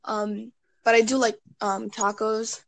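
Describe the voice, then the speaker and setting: A woman's voice: a little high, measured pace, vocal fry, no room sound. Matter-of-fact woman in casual chat. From Bangladesh. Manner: relaxed, matter-of-fact, uses "like" and "um". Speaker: conversation partner.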